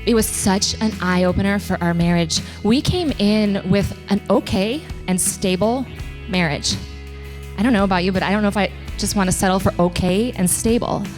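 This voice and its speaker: A woman with a medium-pitched voice gives a personal testimony to a group. She is conversational and feels for her words as she goes. Soft music sits faintly behind her. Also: normal speed. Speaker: wife giving a testimonial.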